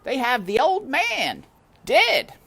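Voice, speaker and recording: A woman's voice: sits high, wildly swooping pitch, slow, pushed and bright, husky, faint music behind, pitched above the performer's own voice, full character voice. A woman voicing a tall, stern female housekeeper who talks sharply and scornfully. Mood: indignant.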